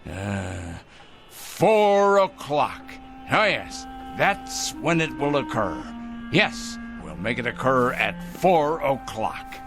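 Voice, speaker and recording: An actor playing a fanatical, self-righteous male vigilante in a radio drama, swinging from hushed murmurs to shrill outbursts. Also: sing-song, takes it slowly, gravelly, heavily acted. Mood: zealous. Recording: faint music score behind.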